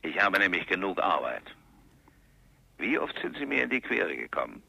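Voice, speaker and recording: An actor playing an irritable, busy police official in a crime radio play, sarcastic and brusque. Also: male voice, low voice, very animated, normal speed, gravelly, bright, forceful tone, breathy edge, heavily acted. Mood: sarcastic, annoyed. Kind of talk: crime radio drama dialogue.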